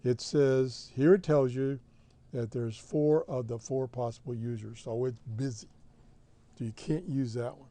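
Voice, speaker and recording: Mellow American man explaining step by step. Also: medium pitch, fairly even intonation, measured pace. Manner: plain-spoken, explanatory, step by step. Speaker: host of a shortwave radio hobby show. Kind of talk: casual screen-share tutorial.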